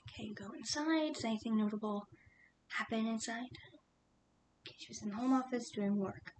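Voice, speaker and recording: A woman voicing a calm, patient female police detective, whispering softly and asking careful, soothing questions in an ASMR roleplay. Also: brisk, natural voice. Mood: questioning. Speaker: interrogator. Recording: steady low rumbling noise.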